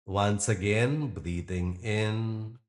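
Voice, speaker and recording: Deep, very slow male meditation guide. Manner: gentle, unhurried instruction with long pauses. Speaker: yoga nidra teacher guiding a practice.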